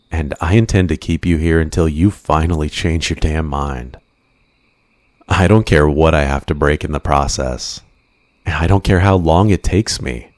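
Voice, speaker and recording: An extremely deep-voiced villain, played by a man, obsessive and possessive, in an ASMR roleplay. He speaks slowly and deliberately, with intimate menace. The sound is dry and close. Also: a little mellow, no echo. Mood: frustrated, obsessive. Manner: slow, deliberate, intimate menace with coaxing endearments. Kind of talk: ASMR roleplay, scripted monologue.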